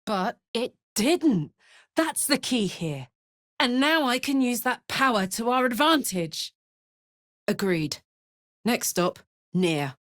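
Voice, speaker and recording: A woman's voice: on the high side, big pitch swings, slow pace, pauses often, no room sound. Casting: a female game warrior, brash yet big-hearted, plain-spoken and earnest, taking her time, recorded close and dry. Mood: matter-of-fact. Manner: earnest, blunt, slangy and informal. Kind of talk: video game voice acting.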